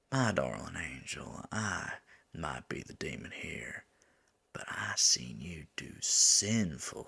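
A man playing a teasing, flirtatious demon lover in an intimate ASMR roleplay, with a drawling manner that drops into breathy murmurs. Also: a bass voice, sharp tone, full character voice. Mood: seductive. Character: teasing, flirtatious male demon lover, smug yet smitten.

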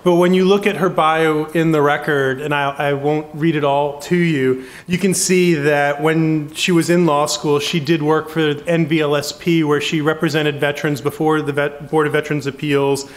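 A middle-aged man with a somewhat high voice introduces a keynote speaker from a lectern. He is brisk and modest and keeps his remarks short. He speaks into a lectern microphone in a conference hall. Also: flat delivery. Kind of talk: formal introduction.

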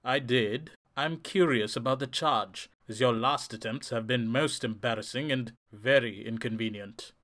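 An actor playing a smug, polished criminal professor with a coolly sardonic manner in an audio drama. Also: male voice, medium pitch, normal speed, hardly any pauses, a bit bright, close to an everyday voice. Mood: cool, mocking. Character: suave, smug male criminal mastermind professor.

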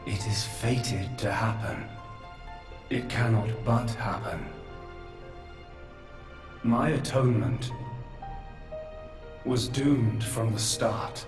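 An actor playing an ancient, world-weary godlike old man, solemn, measured and resigned in a video game cutscene. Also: on the low side. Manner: grave, measured, philosophical. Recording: roomy echo, distant, music behind.

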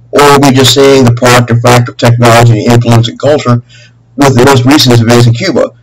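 A middle-aged man making a rambling, aggrieved and earnest complaint as a caller, halting and repeating himself in long winding sentences. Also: medium pitch. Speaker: caller to a government office. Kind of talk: spontaneous phone complaint, rambling monologue. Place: small room at home, indoors. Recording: humming noisy home webcam recording.

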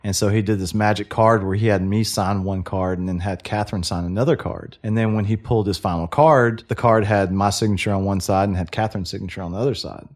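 A middle-aged man with a fairly low voice chats as a podcast guest. He tells his story in a relaxed, easygoing way, often checking in with his listener. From Texas. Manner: easygoing, keeps saying "you know what I mean". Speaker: podcast guest, hat shop owner. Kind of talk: casual chat.

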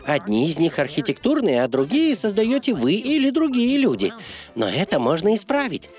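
A man voicing an expressive storyteller narrating a children's cartoon in an explanatory way. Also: high voice, normal speed, soft background music.

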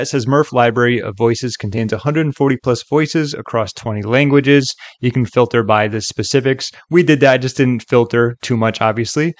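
A man giving a chatty, upbeat, casual software walkthrough as a tutorial presenter. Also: mid-range voice, dry recording. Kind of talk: casual screen-recording walkthrough.

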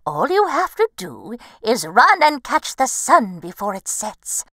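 A woman's voice: a little high, sing-song, a little slow, a bit bright, no echo, heavily acted. Storybook crow, female fortune-teller played by a woman: grand, swooping delivery, close dry sound. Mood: gleeful, urging. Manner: theatrical, knowing, coaxing.

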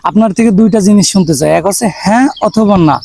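High-voiced young Bangladeshi man, creaky. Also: a little fast, dark tone, dry recording, studio quality.

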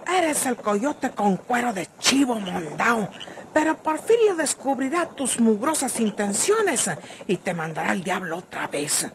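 An actress playing a fiery, suspicious, sharp-tongued country woman with a rural northern Mexican accent in an old radio serial. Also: a little high, sing-song, a little slow, background noise. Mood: scornful, bitter.

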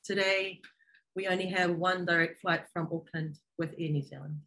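A woman's voice: fairly low pitch, moderate pace, slight background noise. A middle-aged woman from the Cook Islands giving an informative slide presentation over a video call from an office. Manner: steady, informative, lists place names. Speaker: marketing manager presenting on webinar. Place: office room indoors. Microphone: video call webcam.

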